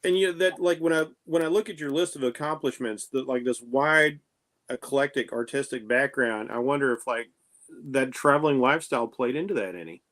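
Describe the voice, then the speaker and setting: A man's voice: medium pitch, fairly quick, fairly bright tone. A man co-hosting an interview podcast, asking friendly, rambling questions. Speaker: podcast co-host. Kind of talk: casual interview chat.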